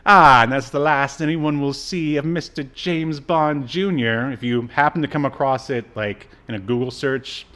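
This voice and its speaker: A man co-hosting a podcast in casual review chat, with a halting, rambling delivery. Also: mid-range voice, fairly bright tone. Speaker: podcast co-host.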